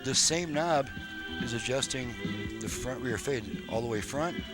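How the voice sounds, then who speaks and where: A man's voice: mid-range voice, unhurried. A man giving a casual, patient step-by-step hands-on demonstration as a repair technician. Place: indoor repair workshop bench. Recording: radio playing loudly behind the voice. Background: car radio music and broadcasts.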